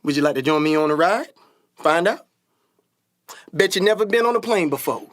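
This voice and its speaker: A man's voice: a little high, lively intonation, moderate pace, fairly bright tone, no room sound. Radio play: male actor as young daredevil pilot, protective older brother, folksy vernacular, dry sound. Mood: cocky. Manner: folksy Southern-style vernacular. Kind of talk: stage-style radio play acting.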